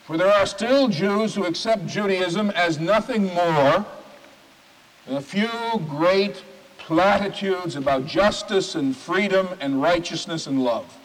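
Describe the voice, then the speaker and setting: A man, a rabbi, giving a sermon in an oratorical manner, stacking phrases with emphatic pauses. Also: high-pitched. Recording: reverberant hall recording.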